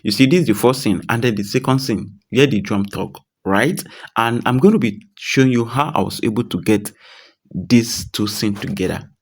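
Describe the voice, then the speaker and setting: A man's voice: medium pitch, moderate pace. A man presenting a casual tutorial, speaking directly to viewers in a reassuring, repetitive way. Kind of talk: casual screen-recorded tutorial.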